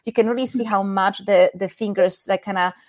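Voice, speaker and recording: Polish-accented woman in her thirties, podcast guest, calm and earnest, walks listeners through exercises, medium pitch. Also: a little fast. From Poland. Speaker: intimacy coach guest. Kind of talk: podcast interview.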